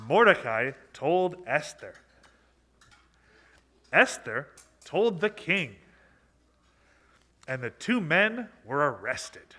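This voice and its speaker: A man narrates a children's puppet show in simple, theatrical phrases. His voice is a bit high and very expressive, with a bright edge and an unhurried pace. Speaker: storyteller, narrator. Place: social hall, live broadcast.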